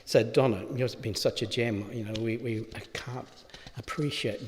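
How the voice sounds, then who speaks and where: A man giving a halting, informal thank-you speech at a funeral. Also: medium pitch, fairly even intonation. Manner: halting, informal, with fillers and asides. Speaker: family member speaking at a funeral. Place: funeral chapel. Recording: long reverb of a large hall.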